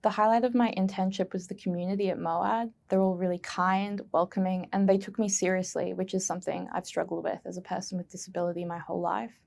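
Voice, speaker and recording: Woman, gravelly fry, earnest museum intern, prepared answers. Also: medium pitch, flat delivery, quick pace, few pauses, a little mellow. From Australia.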